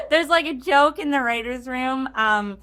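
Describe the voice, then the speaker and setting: A woman's voice: high-pitched, expressive, slow pace, pushed and bright. A woman speaking casually as an interview guest, informal and thinking aloud as she goes. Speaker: game writer, interview guest. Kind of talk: casual chat.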